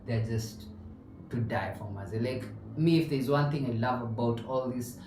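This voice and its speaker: A man's voice: mid-range voice, a little slow, a little mellow, recorded from a distance. Young Kenyan male podcast host, chatty with restarts, mid-range voice, echoey room with faint background noise. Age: young adult. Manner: mixes English and Swahili slang, chatty with many restarts. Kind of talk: casual chat. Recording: roomy, off-mic with faint ambience.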